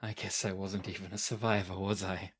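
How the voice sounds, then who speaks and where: A man's voice: deep voice, flat delivery, brisk, fairly bright tone, slightly rough, exaggerated voice. A man voicing a dazed, courteous young fanged man just brought back to life, hesitant and bewildered, in a tabletop game. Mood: dazed, downcast. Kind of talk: improvised tabletop roleplay.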